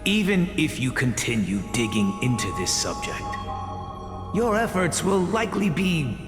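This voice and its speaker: Game role: an earnest, lecturing teacher, high-ish, lively, echoey room, music under. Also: male voice, a little slow. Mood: gentle. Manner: earnest, lecturing, stammers when alarmed. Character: stern but caring male teacher of a young scholar. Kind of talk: game voice acting. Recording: roomy echo with music behind.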